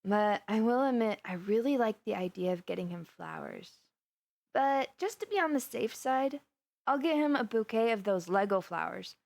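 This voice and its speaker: A woman's voice: fairly high pitch, expressive, normal speed, creaky, darkish tone, no echo. A woman playing a flustered, lovestruck supervillainess in a roleplay audio drama, chatting intimately and dramatically to her best friend. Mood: thoughtful, bashful. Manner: chatty, dramatic, playfully indignant, with sighs and gasps. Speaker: voice actor.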